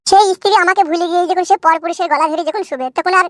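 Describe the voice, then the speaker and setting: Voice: very high-pitched, fairly even intonation, racing, a bit bright, no echo, clean studio sound. A performer voicing a dramatic, self-pitying young husband in a cartoon, pouring out long, florid complaints. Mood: aggrieved. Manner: long wailing complaints full of florid images. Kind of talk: cartoon dub acting.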